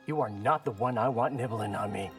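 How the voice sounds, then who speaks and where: An actor playing a defiant, skeptical young warrior in a video game, with a blunt, casual, challenging delivery. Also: male voice, medium pitch, brisk, natural voice. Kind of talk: video game character dialogue. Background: game music.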